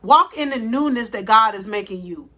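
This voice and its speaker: A woman life coach giving a faith-based motivational talk, with a loud voice, speaking deliberately slowly and calling her listeners "y'all". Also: a little high, expressive. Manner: loud voice by her own account.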